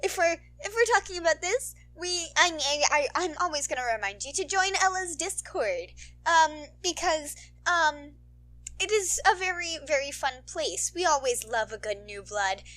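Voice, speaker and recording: A woman's voice: high voice, animated, moderate pace, pushed and bright. A young woman chatting casually to her listeners as a channel host, in a playful, rambling, bubbly manner. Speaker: podfic reader and channel host.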